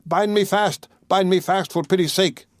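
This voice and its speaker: A man's voice: sits high, fairly even intonation, normal speed, no room sound, studio quality, voice pushed up, natural voice. A man voicing a greedy old miser in a fairy tale, with a pleading, exclamatory manner. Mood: frantic begging. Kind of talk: fairy tale audiobook character voice.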